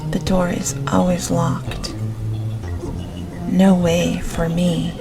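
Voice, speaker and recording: A woman narrating a gothic novel as an audiobook, reading in a gentle, measured, unhurried way. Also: fairly low pitch, little pitch movement, gravelly, over music.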